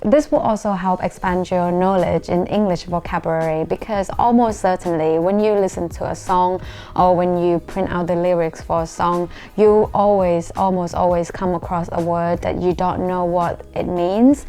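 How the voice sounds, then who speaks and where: Low-pitched Thai-accented young woman. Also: level tone, normal speed, warm tone, clean tone. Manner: earnest, explanatory, self-deprecating. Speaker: YouTuber. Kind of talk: talking to camera.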